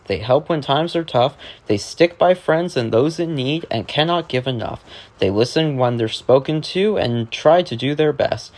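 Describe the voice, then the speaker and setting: A man narrating children's rhymes as a read-aloud, reciting the verse in a sing-song way. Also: medium pitch. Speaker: narrator, reader.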